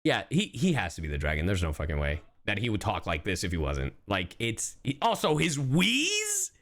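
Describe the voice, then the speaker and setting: Excitable male streamer, wildly swooping pitch. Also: moderate pace. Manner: excitable, sweary. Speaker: streamer reacting to game voice lines. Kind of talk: casual live reaction commentary.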